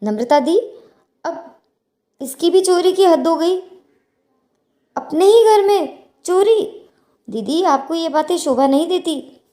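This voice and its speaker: A woman's voice: very high-pitched, lively intonation, slow pace, pauses often, dark tone, exaggerated voice. A woman voicing an anxious young daughter-in-law in a moral story. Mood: accusing. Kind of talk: dramatized moral story dialogue.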